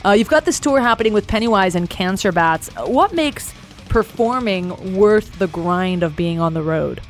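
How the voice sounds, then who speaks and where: A woman's voice: mid-range voice, lively intonation, moderate pace. Woman radio host, upbeat announcer delivery, faint music bed. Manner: upbeat, polished announcer delivery with some hesitant restarts. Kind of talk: radio interview with announcer-style intros.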